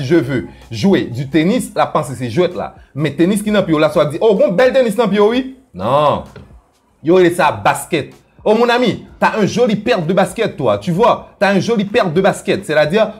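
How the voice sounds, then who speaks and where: A man with a Haitian Creole accent teaching a playful video lesson, often dropping into low asides and jumping high for quoted voices. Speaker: language teacher.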